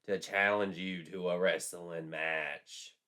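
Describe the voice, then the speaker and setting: A man's voice: sits low, fairly even intonation, slow, pushed and bright, exaggerated voice. A man voicing a vengeful, taunting witch spirit in a storybook tale, speaking in ominous threats. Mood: ominous challenge.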